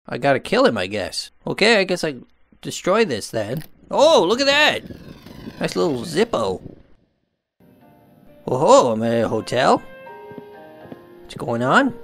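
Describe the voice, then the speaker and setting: Animated, jokey male gaming host. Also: on the high side, a little slow, pauses often. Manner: jokey, exclamatory, cheeky puns. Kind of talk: playful running gameplay commentary. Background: game music.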